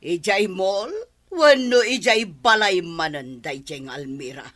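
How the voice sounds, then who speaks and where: A woman's voice: on the low side, expressive, a little slow, fairly bright tone. Woman voicing a suspicious nagging mother, probing questions.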